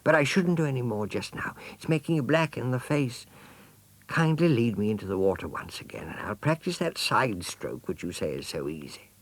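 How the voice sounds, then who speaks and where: A man's voice: mid-range voice, very animated, normal speed, voice pushed up. Man doing a fussy little prickly hedgehog. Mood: brisk, fussy.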